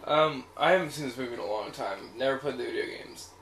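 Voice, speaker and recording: A man's voice: mid-range voice, quick pace, fairly bright tone. A man co-hosting an informal chat, offering his personal opinions in simple everyday language. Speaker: co-host. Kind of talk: casual movie chat. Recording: roomy echo, background noise.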